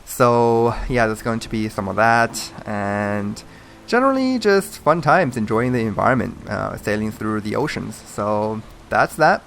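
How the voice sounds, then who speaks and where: A man's voice: fairly low pitch, lively intonation, unhurried, creaky, fairly bright tone, slight background noise. A man born in mainland China, a native Mandarin speaker with a Chinese accent, chatting in a rambling, hesitant way with lots of fillers while commentating a game he's playing. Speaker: gaming channel host. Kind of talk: casual gameplay commentary.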